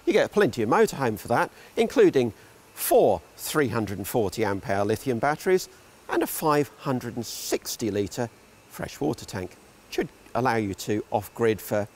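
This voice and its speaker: A man's voice: medium pitch, a little hiss or hum. Wry man touring a motor show. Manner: wry, dry humour, animated delivery. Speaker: motorhome reviewer. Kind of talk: presenting a walkaround video. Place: large indoor exhibition hall.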